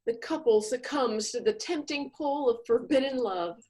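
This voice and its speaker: High, expressive woman with wry delivery. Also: normal speed. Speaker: comedy troupe performer, narrator. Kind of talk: scripted comedy narration.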